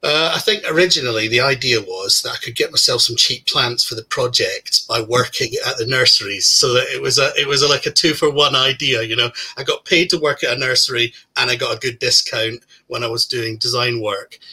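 A man in his forties with a very bright, forceful voice talks casually in an interview. He is relaxed and self-deprecating, rambling along with easy fillers. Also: medium pitch, brisk, breathy edge. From Scotland. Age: 40s. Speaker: podcast guest, plantsman.

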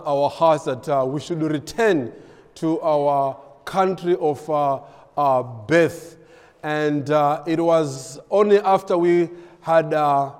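A man from Malawi, a pastor and missionary in his thirties or forties, giving a ministry update with frequent pauses and fillers. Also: fairly high pitch, darkish tone, in a large hall. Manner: hesitant, many filler pauses.

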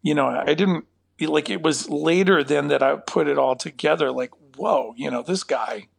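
Gravelly-voiced man, halting and thoughtful. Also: fairly high pitch, dry recording. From Northern California. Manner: thoughtful, halting, many fillers and restarts. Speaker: saxophonist guest. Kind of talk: conversational interview.

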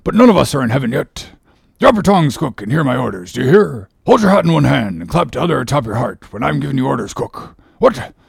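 Bossy, teasing male ship's officer, performed by a man reading a storybook, very lively and husky. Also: medium pitch, fast talker, dry recording, studio quality, voice pushed up, full character voice. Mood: mock-stern. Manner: animated, needling, mock-solemn interrogation. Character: bossy, mocking sailor officer lecturing his cook. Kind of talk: audiobook character reading.

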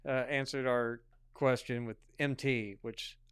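A man co-hosting a podcast in casual chat, laid-back and quick to laugh. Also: medium pitch, steady tone, a bit bright, smooth, no room sound, studio quality. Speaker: podcast co-host.

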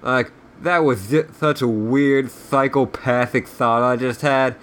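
A man's voice: medium pitch, fairly even intonation, slow pace, fairly bright tone. A man voicing a surly, self-loathing sprite guide who rambles sarcastically and swears as he insults, in a comic read-aloud. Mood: rambling, self-loathing. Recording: clearly noisy background.

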